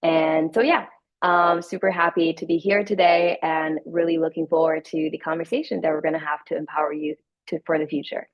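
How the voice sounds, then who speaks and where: Young woman student panelist, slightly low voice, keen, lots of hedging fillers, muffled recording. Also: flat delivery, brisk. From Hungary. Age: young adult. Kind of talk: panel talk and self-introduction. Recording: dull, muffled lo-fi audio.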